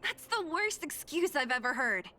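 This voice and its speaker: A young woman playing a wry, no-nonsense fighter in a video game, with a dry, measured delivery. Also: high voice, very animated, bright, forceful tone, faint music behind, exaggerated voice. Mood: exasperated. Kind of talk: scripted video game dialogue.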